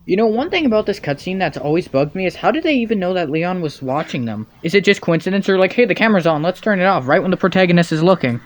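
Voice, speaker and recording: Man, fairly high voice, nitpicky and sarcastic video game commentator. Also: lively intonation, fast, few pauses.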